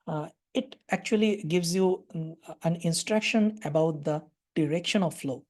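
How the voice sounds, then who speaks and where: Bangladeshi-accented woman lecturing, mellow low voice. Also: normal speed. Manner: hesitant, many fillers. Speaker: radiology lecturer. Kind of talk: live webinar lecture with Q&A.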